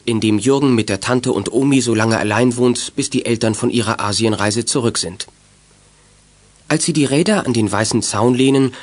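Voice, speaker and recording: A man's voice: mid-range voice, breathy edge. A man narrating a children's radio play as a scripted storyteller. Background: music.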